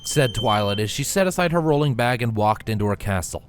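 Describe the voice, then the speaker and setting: A man's voice: medium pitch, animated, a little fast. A man narrating a scripted story as a reader. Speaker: fan fiction reader.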